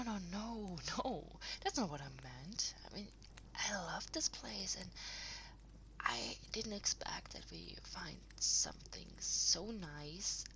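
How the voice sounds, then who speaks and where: A woman playing an affectionate partner in an intimate audio roleplay, rambling softly and hesitantly to the listener at home. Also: fairly low pitch, slight background noise, full character voice, low-quality recording. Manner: soft, breathy, hesitant rambling with trailing pauses.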